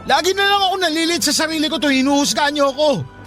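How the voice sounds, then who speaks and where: A man's voice: extremely high pitch, rapid-fire, sharp tone, faint music behind. An actor playing a struggling grown son in a radio drama, defensive and bitter, ashamed of how he earns money. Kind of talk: Tagalog radio drama acting.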